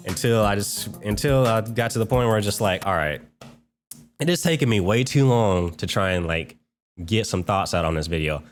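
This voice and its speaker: A man's voice: lowish voice, normal speed, faint music behind. A man giving an unscripted, casual game review as host, informal and rambling with self-corrections, slightly under the weather with a cold. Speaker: gaming video host and reviewer.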